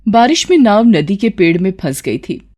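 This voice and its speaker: A woman's voice: medium pitch, sing-song, normal speed, warm tone. A woman narrates a moral story in a scripted storytelling style.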